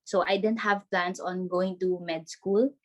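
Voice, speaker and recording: A woman's voice: fairly low pitch, fairly even intonation, a little slow. A young woman from the Philippines, a podcast guest, chatting in a bubbly way with frequent laughs and many "like"s over a laptop video call from her bedroom. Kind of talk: casual video-call interview.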